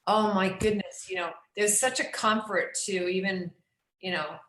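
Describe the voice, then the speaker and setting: A woman's voice: mid-range voice, fairly even intonation, moderate pace, a bit bright. Effusive, rambling woman in a roomy space. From New York. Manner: effusive, rambling, restarts mid-sentence. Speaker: executive director hosting the Q&A. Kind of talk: casual Q&A questions.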